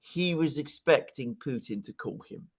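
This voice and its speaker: A man's voice: mid-range voice, expressive, moderate pace. A man giving conversational political analysis as a guest commentator, speaking emphatically and repeating words for stress.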